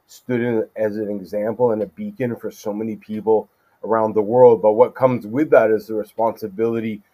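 A middle-aged man from California, a judge as podcast guest, answering in a thoughtful, measured way with frequent fillers. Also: on the low side, level tone, phone-quality sound. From California, USA. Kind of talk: casual interview conversation.

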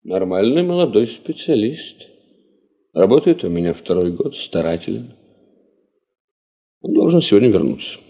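An actor playing a principled, sceptical, cautious geologist who leads a field party in a Soviet radio drama, speaking formally and precisely. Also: male voice, lowish voice, very animated, moderate pace, vocal fry, long pauses, dark tone, dull audio. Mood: cautious, matter-of-fact.

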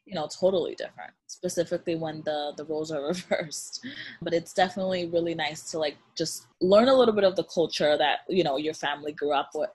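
A young woman from the Dominican Republic talking in a relaxed way as a podcast guest, laughing often and using many fillers. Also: lowish voice, slightly rough. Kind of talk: casual interview chat.

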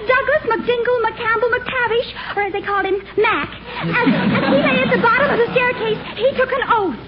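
A woman playing an excitable, chatty teenage neighbor girl in an old radio comedy, telling tales in a breathless, melodramatic way. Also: very high voice, a little fast. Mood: spooky, melodramatic. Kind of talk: 1940s radio comedy acting. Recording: noisy, muffled old radio recording.

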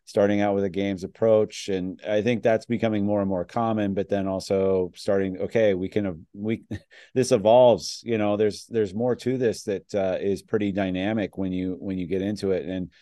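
A man's voice: on the low side, fairly even intonation, moderate pace, mellow, no room sound, clean studio sound. A man hosting a coaching podcast in a conversational way, with frequent fillers. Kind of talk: casual podcast interview.

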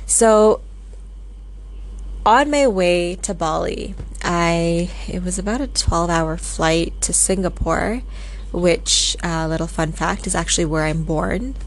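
A woman's voice: fairly low pitch, slow, a little hiss or hum, poor audio. A young woman from Canada hosting her own podcast, telling a travel story in a chatty, rambling way with small laughs. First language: Tamil. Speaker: podcast host. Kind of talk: casual storytelling monologue.

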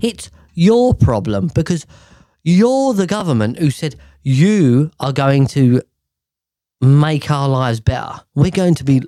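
A man's voice: fairly high pitch, animated, takes it slowly, warm tone, no echo. Swearing, exasperated man ranting. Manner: rambling with restarts and sighs. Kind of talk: casual rant to camera.

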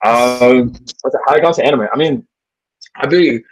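A man's voice: mid-range voice, moderate pace, poor audio. A man co-hosting a casual podcast, rambling with lots of fillers and repeats. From Canada. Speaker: podcast co-host.

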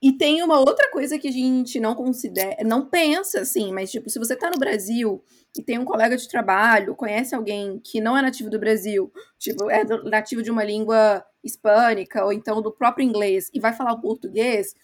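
A woman from Brazil talking casually in a slightly high voice. She thinks out loud in long, winding sentences and sounds reflective. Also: fairly quick. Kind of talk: casual chat.

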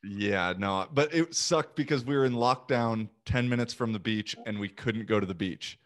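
A man's voice: medium pitch, moderate pace, fairly bright tone. A man in casual conversation, chatty and informal, peppering his talk with filler words like "like" and "you know". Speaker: youth sports coach and language learner.

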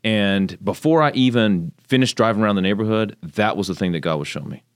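Texan man, very low voice, rambling candidate in a dry room. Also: a little fast, clean tone, no echo. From Texas, United States. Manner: chatty, rambling, faith-focused storytelling. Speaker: congressional candidate, guest. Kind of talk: casual interview talk.

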